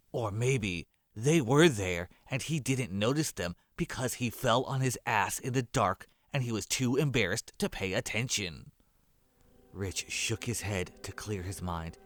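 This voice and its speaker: A man with a fairly low voice reads a scripted horror story as narrator. Music plays behind him.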